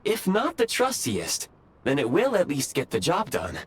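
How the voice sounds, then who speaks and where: A man's voice: on the high side, wildly swooping pitch, normal speed, husky, heavily acted. A man voicing a polite, composed robotic train conductor in a video game, with formal, precise wording. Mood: polite, advising. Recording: music behind.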